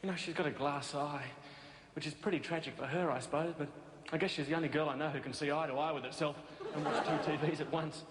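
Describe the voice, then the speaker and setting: A man performing in a sitcom, mocking and teasing in an animated way. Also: a little high, fast talker. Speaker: comedian in a sitcom. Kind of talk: scripted sitcom comedy. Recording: noisy recording.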